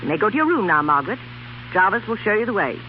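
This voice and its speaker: An actress playing a strict, haughty aunt, imperious and clipped, in a 1930s radio drama. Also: female voice, mid-range voice, sing-song, fast talker, lightly acted. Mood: stern. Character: haughty, strict upper-class English aunt. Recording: dull, hissy 1930s radio recording.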